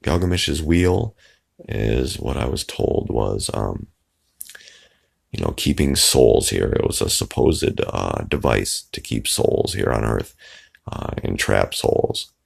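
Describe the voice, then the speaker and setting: A man with a hoarse, raspy voice, rambling casually into his phone's microphone and trailing off with plenty of fillers. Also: rumbling low, expressive, takes it slowly. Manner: meandering, trails off with many fillers. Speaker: video blogger. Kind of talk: rambling casual monologue to camera.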